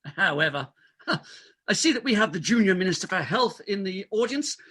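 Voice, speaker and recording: A man's voice: high voice, animated, moderate pace, bright, forceful tone, slightly rough, full character voice. A man playing a pompous, evasive middle-aged London doctor, blustering and stammering through a comic stage play reading. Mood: pompous, speechifying.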